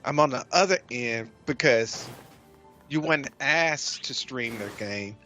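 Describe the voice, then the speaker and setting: Bright, hesitant man co-hosting a podcast. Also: medium pitch, animated, soft background music. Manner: hesitant, halting, restarts while pushing back. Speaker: podcast co-host. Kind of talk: casual podcast chat.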